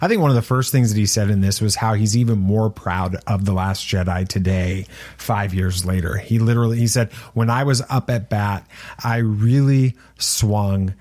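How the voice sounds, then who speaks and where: A man's voice: lowish voice, normal speed, darkish tone. A man hosting a podcast, leading a casual panel discussion about films. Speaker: podcast host.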